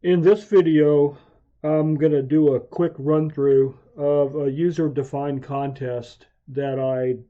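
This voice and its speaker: A man with a very dark, mellow voice walks through a software tutorial. He speaks slowly and methodically at a medium pitch. The recording is dull and lo-fi. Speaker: amateur radio hobbyist hosting video tutorials. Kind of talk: software walkthrough narration for a screen tutorial. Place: home radio shack. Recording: dull, muffled lo-fi voice track.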